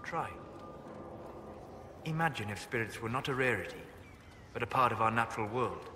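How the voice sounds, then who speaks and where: An actor playing a calm, scholarly elven mage in a fantasy game, speaking in a measured, formal, lecturing manner. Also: male voice, medium pitch, slight background noise. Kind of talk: scripted fantasy game dialogue.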